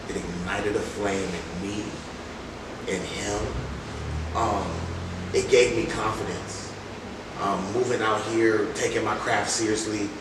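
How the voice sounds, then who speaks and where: A man with a fairly low voice talks casually as an interviewed artist, in slangy, reflective phrases that he often repeats for emphasis. The room echoes heavily, and clear noise sits behind him. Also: steady tone, slow pace, distant mic.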